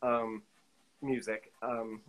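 A man's voice: medium pitch, flat delivery, drawn-out words, a bit bright, rough recording. A middle-aged man chatting casually as an interview guest over a video call, thinking aloud in an easygoing way. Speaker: guest, collage artist. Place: indoor studio room, video call.

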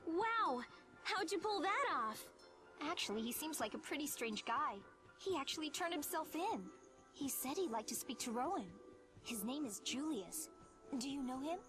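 A friendly young woman in a video game cutscene, informal and expressive. Her voice sits high, with music clearly behind. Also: normal speed. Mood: bright surprise. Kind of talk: scripted game cutscene acting.

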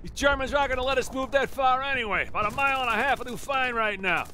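A gruff Army general in the thick of battle. He barks orders impatiently in a very high-pitched, hard-edged voice, radio drama style, over gunfire and vehicle noise. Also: quick pace, bright, forceful tone, full character voice. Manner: barking, impatient.

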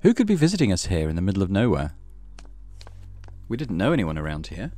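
A man's voice: sits low, huge pitch swings, fast, dark tone. A man reading a horror visual novel aloud as a dramatic narrator for a let's play.

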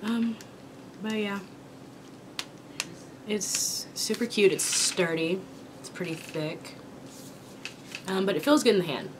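A young woman from Kentucky chatting casually while eating, her voice raspy from a sore throat, rambling with many ums. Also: mid-range voice, slow pace, pauses often, background noise. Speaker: vlogger. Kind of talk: casual mukbang chat. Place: small indoor room with dark curtain backdrop.

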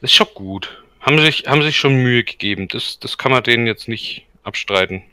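A man's voice: medium pitch, expressive, normal speed, pushed and bright, smooth, no room sound. A man, co-hosting a gaming podcast in casual conversation. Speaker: podcast co-host.

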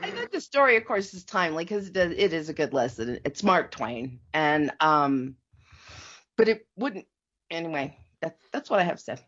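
A middle-aged woman with a fairly low voice talks casually on a podcast panel. Her pitch moves around a lot as she wanders through her thoughts. The audio is slightly dull. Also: sing-song, moderate pace, a bit bright. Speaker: podcast panelist reviewing old radio shows. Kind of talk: casual chat.

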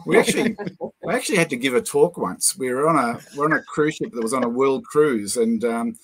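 An older man with a New Zealand accent, a guest lecturer telling anecdotes over a video call, with many pauses and fillers. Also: mid-range voice, expressive, fairly bright tone, husky, low-quality recording. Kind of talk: casual chat, storytelling.

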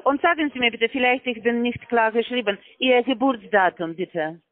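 High-voiced man in broken German. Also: no echo. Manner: broken, halting German, patient and repetitive. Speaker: phone scammer. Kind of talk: phone call. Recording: tinny phone line.

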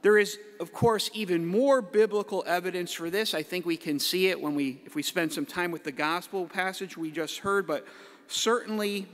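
A middle-aged man, a priest, giving a playful homily from the pulpit with the odd hype-man shout. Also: sits high, normal speed. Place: large church. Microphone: pulpit mic. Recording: echoey church hall.

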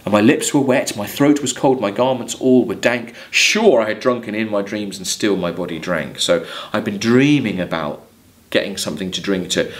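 Low-pitched English male literature lecturer. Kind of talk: lecture, reading poetry aloud and commenting. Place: small plain room, seated at a desk.

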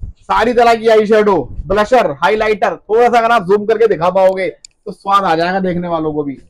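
A man in his thirties, a shop owner, rattling off products and prices in a quick, pushy sales patter, recorded on a phone camera inside his shop. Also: sits high, fairly even intonation, low-quality recording. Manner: rapid, pushy salesman patter, repeats words. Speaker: wholesale cosmetics shop owner. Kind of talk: fast sales pitch listing prices. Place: cosmetics shop among stocked shelves, indoors. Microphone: handheld phone camera up close.

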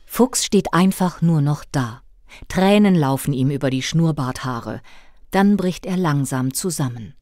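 A woman narrating a scripted children's audio play in a dramatic, expressive storybook manner. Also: deep voice, measured pace, dry recording, clean studio sound.

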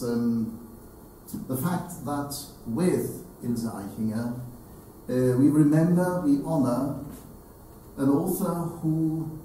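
Very reverberant room, older man, dark muffled tone, formal speech, halting and deliberate. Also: mid-range voice. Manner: deliberate, halting, emphatic repetition. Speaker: event speaker. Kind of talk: formal tribute speech to an audience. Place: hall with seated audience, indoors. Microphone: distant camera. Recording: very echoey room, distant mic, slight noise.

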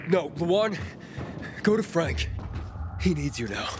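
Determined, higher-voiced young hero. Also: male voice, very animated, unhurried. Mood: resolute. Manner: earnest, urgent. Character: determined loyal young male survivor hero. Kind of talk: video game dramatic acting. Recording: noisy game action mix.

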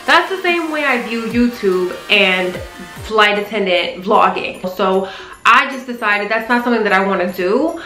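A woman's voice: medium pitch, expressive, moderate pace, bright, forceful tone, roomy sound, faint music behind, poor audio. A young adult American woman vlogger chatting casually to camera in an emphatic, conversational way, often checking in with the listener. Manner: emphatic, conversational, punctuated with "right?" check-ins. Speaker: vlogger and flight attendant. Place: small living room, indoors on a sofa.

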